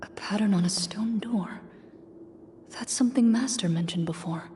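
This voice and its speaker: A woman playing a composed, reserved duelist who narrates as a tabletop game master in a video game, measured and solemn. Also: medium pitch, background noise, natural voice. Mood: guarded.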